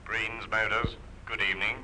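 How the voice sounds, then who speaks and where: A man's voice: lowish voice, flat delivery, moderate pace, natural voice. A man playing a polite, deferential car salesman taking a telephone call in an old radio drama. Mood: eager, courteous. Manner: deferential, businesslike. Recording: noisy old radio recording.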